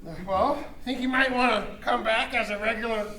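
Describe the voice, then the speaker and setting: A woman's voice: on the high side, steady tone, normal speed, bright, forceful tone, echoey room, low-quality recording. A woman playing a brash, abrasive, easily exasperated interviewer in a comedy radio drama. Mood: awkward, flirty.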